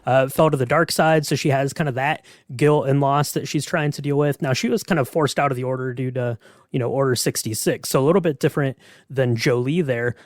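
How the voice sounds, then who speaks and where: A man's voice: medium pitch, a little fast, no room sound, clean studio sound. A man hosting a podcast in a casual, conversational way, thinking aloud as he talks. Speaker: podcast host.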